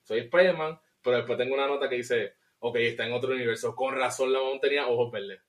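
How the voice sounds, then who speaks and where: A man with a Puerto Rican accent co-hosting a podcast, reviewing a film in an animated, casual way and slipping into English. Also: mid-range voice, fast talker. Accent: Puerto Rican Spanish. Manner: animated, laughs often, mixes in English. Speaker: podcast co-host.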